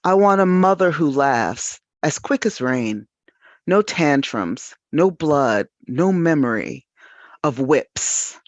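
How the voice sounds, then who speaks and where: A woman reading poetry with deliberate, incantatory phrasing, on a slightly dull recording. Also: deep voice, lively intonation, dry recording. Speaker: reader at a literary event.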